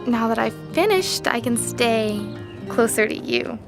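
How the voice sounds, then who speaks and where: A woman's voice: fairly high pitch, expressive, a little slow, creaky, music in the background. A woman playing an earnest, headstrong young sorceress in a fantasy audio drama. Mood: tender, pleased.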